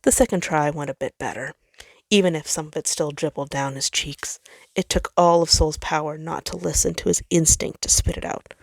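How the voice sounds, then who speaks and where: A woman's voice: deep voice, moderate pace, creaky, dry recording. A woman reading a story aloud as narrator, in a dramatic scripted style. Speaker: fan fiction narrator.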